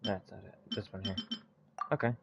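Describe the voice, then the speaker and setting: A man giving casual gameplay commentary, haltingly thinking aloud as he goes. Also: low-pitched, muffled recording. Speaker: gaming video creator. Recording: clear background noise, mouse clicks. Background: noise and clicks.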